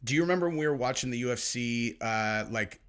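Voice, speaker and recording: A man's voice: medium pitch, fast talker, fairly bright tone, clear, resonant tone. A young man co-hosting a podcast, telling a story in a rambling, profane way full of fillers. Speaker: podcast co-host. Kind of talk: casual chat.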